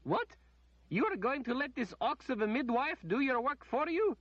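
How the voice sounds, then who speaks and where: Shrill haughty noblewoman as a male storybook reader puts her on, shrieking, very high, muffled dry sound. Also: sing-song, moderate pace, slightly rough, no room sound, full character voice. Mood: imperious. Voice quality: shrieking, petulant.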